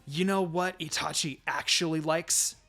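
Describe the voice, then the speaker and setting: A man voicing a vengeful young ninja girl with a slow, breathy, menacing delivery, in a fan fiction reading. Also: on the high side, steady tone, pushed and bright, faint music behind, exaggerated voice. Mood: cold menace.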